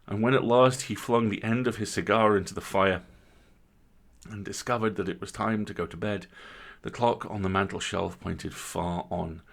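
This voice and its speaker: A man reading a literary story aloud as a dramatic narrator, with theatrical, emphatic phrasing and deliberate pauses. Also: fairly low pitch, fairly even intonation, gravelly. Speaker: story reader, host.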